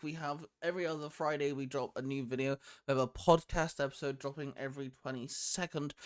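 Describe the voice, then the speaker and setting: A man with a slightly high-pitched voice hosts a casual chat video. He speaks emphatically and with enthusiasm, like someone walking viewers through a favourites list. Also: smooth. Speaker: video host. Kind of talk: casual chat about a top six list.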